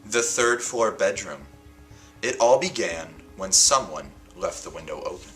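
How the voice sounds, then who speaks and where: Deep-voiced man reading stories with animated expression. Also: faint music behind. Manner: dramatic, deliberate phrasing with emphatic pauses. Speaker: host reading a picture book aloud and setting a writing challenge. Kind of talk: expressive storybook read-aloud for children.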